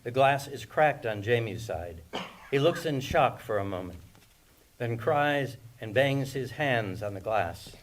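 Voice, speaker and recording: A man's voice: medium pitch, lively intonation, measured pace. A man narrating scripted stage directions at a screenplay table read, picked up from a distance. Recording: distant mic with background noise.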